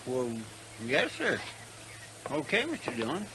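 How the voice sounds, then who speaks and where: An actor playing a loyal, simple deputy with a rural American accent, folksy, deferential and fretful, in a 1950s western radio drama. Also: male voice, on the high side, huge pitch swings, a little slow, exaggerated voice. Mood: puzzled, obliging. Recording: hissy 1950s radio recording.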